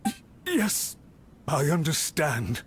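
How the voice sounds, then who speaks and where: A man's voice: on the high side, big pitch swings, slow pace, soft background music. An actor playing a cowed, apologetic and deferential male servant in a video game, hesitant and obsequious. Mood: nervous, submissive.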